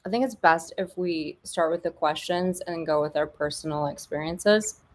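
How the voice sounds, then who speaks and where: A woman hosting a casual podcast, talking in a low voice. She chats freely and jokes along, filling pauses with ums. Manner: chatty, filler-heavy, jokey. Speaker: podcast host.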